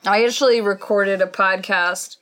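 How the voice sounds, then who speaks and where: Deadpan young woman podcast co-host. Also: mid-range voice, normal speed. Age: 20s. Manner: dry, deadpan humor. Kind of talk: casual chat.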